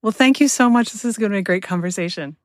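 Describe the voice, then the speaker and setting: A woman's voice: mid-range voice, fast talker, no room sound, clean studio sound. A woman hosting a podcast, chatting casually with a guest in an interview style. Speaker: podcast host.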